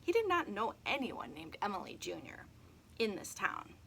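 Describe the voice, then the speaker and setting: A woman reading a children's chapter book aloud, addressing young listeners in an expressive storytelling manner. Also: medium pitch, normal speed, gravelly, slight background noise. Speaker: read-aloud host.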